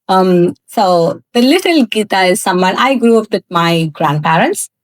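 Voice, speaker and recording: A woman from South India whose first language is Tamil, telling her personal story as a guest in a podcast interview, candid, with frequent laughs and halting fillers. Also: mid-range voice, expressive, dry recording. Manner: candid, laughs often, pauses with many fillers. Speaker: guest, cosmetics founder.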